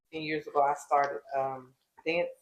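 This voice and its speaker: A woman co-hosting a casual interview, relaxed and laughing as she tells her own stories. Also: sits low, normal speed, poor audio. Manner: relaxed, laughing, easygoing storyteller. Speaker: co-host.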